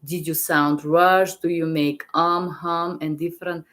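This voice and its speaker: Slow, low-voiced woman with an accent. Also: steady tone, fairly bright tone. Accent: Bulgarian. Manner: halting, repeats words, restarts often. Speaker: medical interpreter trainer. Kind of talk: casual live-stream teaching.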